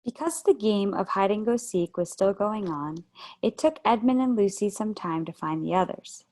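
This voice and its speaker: A woman with a mid-pitched voice narrates a children's book in a steady, gentle read-aloud style. She speaks into a video call mic. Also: normal speed.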